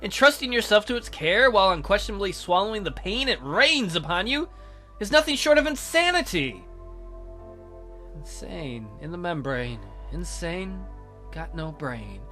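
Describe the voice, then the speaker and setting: A man hosting a let's play, reading a visual novel aloud in a theatrical, expressive way. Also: high-pitched, moderate pace. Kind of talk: dramatic read-aloud of a visual novel, with casual asides. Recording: music behind the voice.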